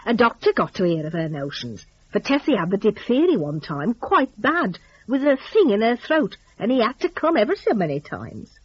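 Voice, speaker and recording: A woman's voice: mid-range voice, very animated, normal speed, few pauses, husky, highs cut off. A woman narrating a dramatic story in a chatty, gossipy, folksy dialect.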